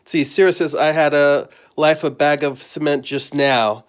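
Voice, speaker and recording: Hoarse man with a cold. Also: fairly high pitch. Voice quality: raspy, with throat clearing. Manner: rambling, friendly, halting with fillers. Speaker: comic artist and live-stream host. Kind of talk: casual live-stream chat. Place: home studio at a drawing table.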